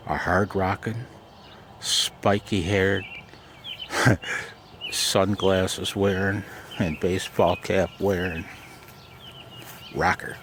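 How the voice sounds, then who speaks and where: A man with a very low, gravelly voice talks in an unhurried, rambling way. He is casual and self-deprecating, like someone chatting on a homemade vlog. He is recorded outdoors, with faint background noise behind him. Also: a bit bright. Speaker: amateur radio vlogger. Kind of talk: casual chat. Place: outdoors in a backyard garden and deck.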